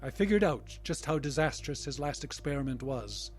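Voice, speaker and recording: An actor playing a dry, defiant, learned captive in an audio drama. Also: male voice, medium pitch, lively intonation, moderate pace, faint music behind, close to an everyday voice. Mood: reflective.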